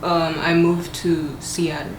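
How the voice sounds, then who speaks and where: A woman's voice: sits low, fairly even intonation, takes it slowly. A young woman raised in Gambia with a Gambian accent, speaking reflectively in a documentary interview. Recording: echoey room with noticeable ambient noise.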